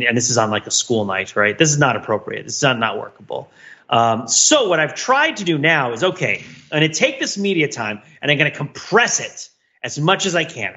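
A man's voice: mid-range voice, bright, forceful tone, lo-fi sound. A middle-aged man co-hosting a casual podcast, explaining things at length in an analytical, rambling, mock-academic way. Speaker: podcast co-host.

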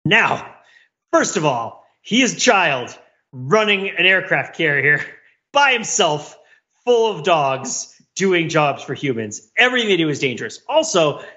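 A man's voice: on the high side, big pitch swings, a little slow, sharp tone, muffled recording. A man co-hosting a podcast, riffing in rambling, comedic asides about film in a casual chat. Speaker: podcast co-host.

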